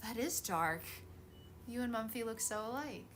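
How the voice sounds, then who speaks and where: A woman's voice: on the high side, lively intonation, moderate pace, noisy background. A woman chatting casually on a craft livestream, bubbly and greeting viewers by name. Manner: bubbly, greets chat warmly. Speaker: streamer. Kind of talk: casual chat on a craft stream.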